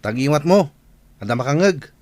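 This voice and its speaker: A man's voice: fairly high pitch, expressive, a little fast, darkish tone, no room sound. Idle, grumbling young husband, male actor, Ilocano accent, radio drama, dry recording. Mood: irritated.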